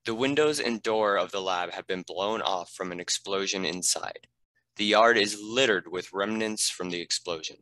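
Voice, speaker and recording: A man narrates stage directions from a script. His voice is fairly low yet bright and crisp, captured close in a dry space. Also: moderate pace, dry recording.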